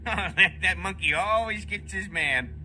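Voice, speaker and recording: Bright, goofy security guard. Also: male voice, sits high, unhurried, a little hiss or hum, heavily acted. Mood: friendly, flirty. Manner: folksy, bumbling, flirty. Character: goofy, friendly, dim male night security guard. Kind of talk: video game character acting.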